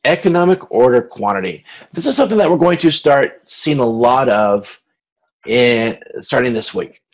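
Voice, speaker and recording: Male course lecturer, mid-pitched voice. Informal, explanatory delivery that restarts and rewords phrases along the way. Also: animated, rough recording. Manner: halting, repeats and rephrases himself as he explains.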